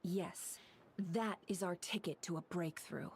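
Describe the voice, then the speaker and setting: A woman playing a shrewd, composed strategist in a game, speaking in a deliberate, articulate, analytical manner. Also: on the low side, heavy background noise. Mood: calm, assured. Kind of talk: scripted game dialogue.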